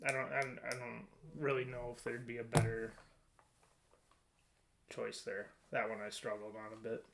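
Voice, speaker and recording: A man's voice: medium pitch, completely flat delivery, moderate pace, gravelly, background noise. A man hosting a casual podcast discussion, conversational and a little hesitant, often trailing off. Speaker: podcast host.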